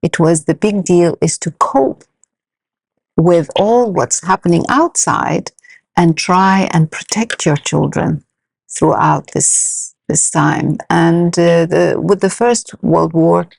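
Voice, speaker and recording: Low-voiced middle-aged Arabic-speaking woman, slightly gravelly. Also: slow pace, darkish tone, no echo. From Iraq. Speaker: podcast guest, senior lecturer. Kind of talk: personal storytelling in an interview.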